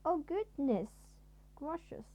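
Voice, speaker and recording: A woman's voice: high-pitched, expressive, slow pace, dull audio, pitched above the performer's own voice. A woman voicing a timid, panicky male storybook character who exclaims in melodramatic fright. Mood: panicked.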